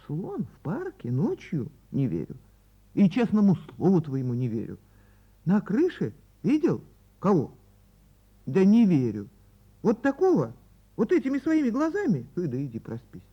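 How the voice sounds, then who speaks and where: A woman plays a scornful city dweller who refuses to believe a word. The pitch jumps about through short, incredulous questions and curt dismissals. It is radio drama storytelling with a slightly dull sound. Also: wildly swooping pitch, measured pace, husky, exaggerated voice. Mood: scornful disbelief. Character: scornful, disbelieving townsperson mocking an eyewitness.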